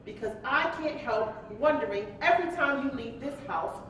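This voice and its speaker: On stage, an anguished wife who feels neglected, pressing her case with dramatic emotion. Her voice is high. The recording is distant and heavily echoing, as in a live hall. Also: expressive, normal speed, fairly bright tone. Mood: hurt, pleading. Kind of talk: staged play reading, dramatic dialogue. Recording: very echoey live hall, distant mic, rumble.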